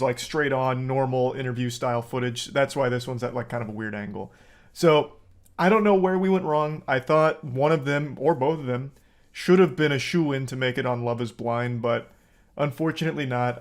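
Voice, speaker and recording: A man hosting casual commentary in a dry, sardonic tone, swearing freely. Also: medium pitch. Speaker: YouTuber host.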